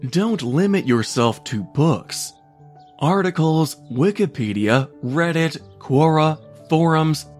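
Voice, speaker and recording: Slow, lively-pitched man narrating an article. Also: soft background music.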